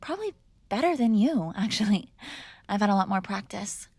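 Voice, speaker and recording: A woman's voice: mid-range voice, lively intonation, moderate pace, darkish tone, husky, no room sound. A woman playing a mob boss's spoiled, bratty young adult daughter in an intimate roleplay, speaking casually with sarcastic, exasperated argument. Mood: wry, challenging. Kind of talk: intimate ASMR roleplay acting, one-sided conversation.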